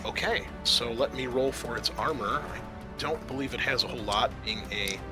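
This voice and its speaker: A man acting as game master, halting and informal as he explains rules and narrates a tabletop roleplaying game. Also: mid-range voice. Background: music.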